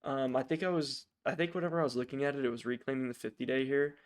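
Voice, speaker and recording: Flat-toned man, raspy from a sore throat. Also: medium pitch, fast talker. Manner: informal, hesitant, thinking aloud. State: raspy, sick with strep throat. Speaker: stock trading channel host. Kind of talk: casual, unscripted stock watchlist commentary.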